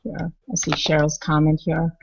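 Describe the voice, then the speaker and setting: A woman co-hosting on a video call, making a quick practical comment. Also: low voice, level tone, gravelly, darkish tone. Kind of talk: casual aside on a video call. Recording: dull call audio with faint noise.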